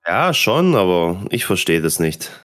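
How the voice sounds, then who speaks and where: A man with a cold-stuffed nose talks on a podcast in a low voice that swings lively up and down. He questions and teases his co-host skeptically in easygoing banter. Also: measured pace. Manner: teasing, skeptical, pushes back. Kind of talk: casual chat.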